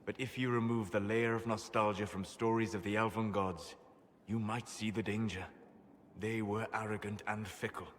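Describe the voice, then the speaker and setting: An actor playing a grave, learned elven mage in a fantasy video game, formal and measured, with passionate intensity. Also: male voice, on the low side, fairly even intonation, big-room sound, slight background noise, natural voice. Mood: grave, reflective. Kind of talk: dramatic video game voice acting.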